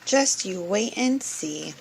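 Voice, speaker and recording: A woman voicing a patient, reassuring mother pig in a children's storybook, explaining things gently. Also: medium pitch, expressive, slow, vocal fry, slight background noise, full character voice, low-quality recording.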